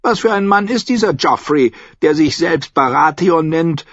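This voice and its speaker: A man voicing a sharp-tongued, commanding old noblewoman in an audiobook, imperious and blunt. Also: a little high, expressive, moderate pace, dry recording, muffled recording, pitched above the performer's own voice. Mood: shrewd. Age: older.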